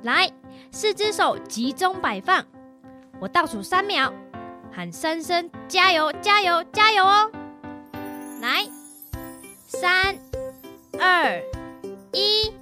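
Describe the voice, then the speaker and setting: A woman's voice: very high voice, expressive, takes it slowly, long pauses, bright, forceful tone, faint music behind, heavily acted. A woman playing a caring, encouraging mother in a children's radio drama. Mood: teasing, cheering.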